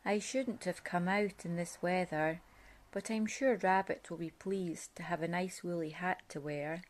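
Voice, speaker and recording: A woman voicing a small, anxious, kind-hearted male hedgehog in a children's storybook, fretting gently. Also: on the low side, unhurried, slight background noise, natural voice. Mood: worried.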